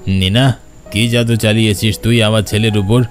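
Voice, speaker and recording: A man acts out an older village landlord, the villain of a horror cartoon. He is deep-voiced, haughty and domineering, with cruelty in his delivery. Faint music sits behind the voice. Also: fairly even intonation, normal speed, natural voice. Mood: menacing contempt. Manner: domineering, contemptuous. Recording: background music under the voice.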